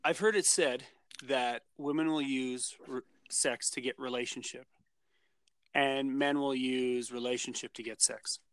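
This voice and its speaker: A man's voice: medium pitch, steady tone, a little slow, a bit bright, dry recording. A man co-hosting a casual podcast, his voice in a middle range. He rambles and restarts as he thinks aloud. The recording is dry and close. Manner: rambling, many restarts and fillers. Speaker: podcast co-host.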